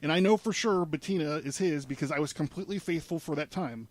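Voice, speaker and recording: A man's voice: a little high, quick pace, no echo. A man presenting a podcast in a studio, reading news articles aloud with informal asides. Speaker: podcast presenter laying out a case.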